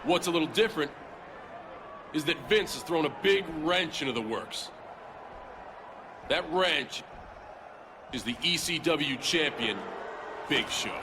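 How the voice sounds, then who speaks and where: Brief: swaggering game wrestler, slightly high voice, hum of arena ambience behind. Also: male voice, animated, measured pace, pauses often. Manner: cocky, playful trash talk. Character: brash male wrestling champion addressing the crowd. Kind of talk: video game cutscene dialogue.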